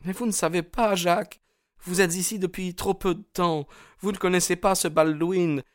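A man's voice: high voice, fairly even intonation, normal speed, no echo, studio quality, voice pushed up, natural voice. A man voicing an anxious young woman in love, speaking in an earnest, pleading way in an audiobook. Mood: fearful, warning. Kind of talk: audiobook character dialogue.

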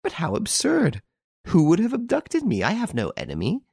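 A man's voice: high voice, wildly swooping pitch, normal speed, vocal fry, darkish tone, husky, no room sound, clean studio sound, voice pushed up, heavily acted. A man voicing a passionate, defiant young woman film star in an audiobook story, breathless and emotional. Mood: scornful protest.

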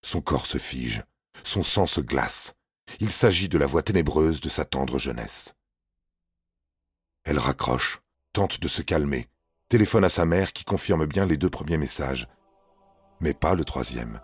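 A man's voice: rumbling low, animated, a little slow, pauses often, breathy edge, no room sound, clean studio sound. A man narrating scripted paranormal stories in a grave, suspenseful, dramatic manner. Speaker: narrator of a paranormal true-story podcast.

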